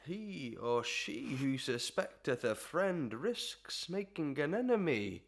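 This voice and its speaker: A man playing a pompous, lovestruck parish official in a Victorian melodrama, grandiloquent and mock-poetic, often clearing his throat. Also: on the high side, big pitch swings, slow pace, exaggerated voice. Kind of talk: Victorian melodrama audio acting.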